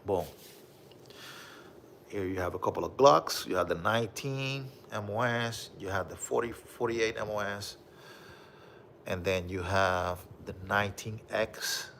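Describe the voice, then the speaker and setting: A man with a Puerto Rican accent hosting a casual livestream, reading viewer comments and showing off his collection, with a relaxed manner and frequent "bro" and "okay?". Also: fairly low pitch, a little slow, vocal fry, slight background noise. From Puerto Rico. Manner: relaxed, repeats "bro" and "okay?", many fillers.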